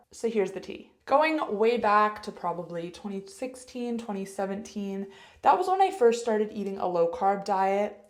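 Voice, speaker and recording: A woman's voice: medium pitch, echoey room. A young adult woman with a Canadian accent, chatting upbeat in a get-ready-with-me vlog as a health coach, laughing between points.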